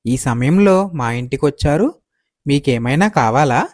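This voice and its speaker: A man playing a humble, kindly poor farmer in a moral story, speaking deferentially and gently. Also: medium pitch, expressive, unhurried, no echo, studio quality, close to an everyday voice. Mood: welcoming. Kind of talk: acted moral story dialogue.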